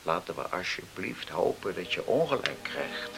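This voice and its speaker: A man's voice: low voice, expressive, moderate pace, vocal fry. A man playing a sombre, conciliatory visitor in a radio play. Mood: grave, hopeful. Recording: old radio recording with faint hiss.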